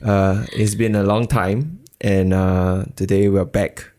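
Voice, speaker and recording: Young Singaporean male host, low-pitched, low-key and self-mocking delivery. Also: fairly even intonation, takes it slowly, darkish tone, faint music behind. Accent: Singaporean English. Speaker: podcast host. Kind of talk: casual chat.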